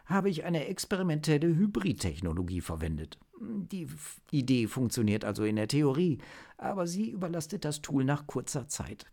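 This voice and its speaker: A man's voice: on the high side, big pitch swings, moderate pace, creaky, warm tone, voice pushed up, heavily acted. A man voicing an eager, inventive male tech agent who explains technical things earnestly in a story. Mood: earnest, regretful.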